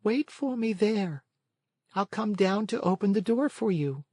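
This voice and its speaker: Storybook lady snail, played by a man: placid, unhurried, very high-pitched, dry close sound. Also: no room sound. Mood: calm, unhurried. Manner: calm, peaceful, unhurried. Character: slow, calm, unhurried female snail servant.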